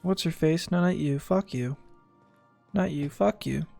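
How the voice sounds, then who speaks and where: A man's voice: a little high, measured pace, gravelly, mellow, soft background music. A man giving casual, sarcastic, wisecracking commentary as he streams a game.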